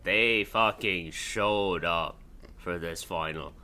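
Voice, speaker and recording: Bright, low-voiced man with mixed accent. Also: slow pace. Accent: mixed international. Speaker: podcast co-host. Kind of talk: casual football chat.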